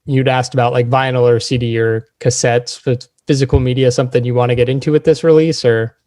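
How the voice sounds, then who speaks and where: A man's voice: mid-range voice, flat delivery, fairly quick, darkish tone. A man interviewing guests as a podcast host in a casual, conversational style.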